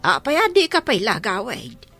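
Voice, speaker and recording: A woman's voice: mid-range voice, wildly swooping pitch, normal speed, sharp tone, soft background music. An actress playing a concerned, probing mother of a grown daughter in a Filipino radio drama, with a Filipino accent.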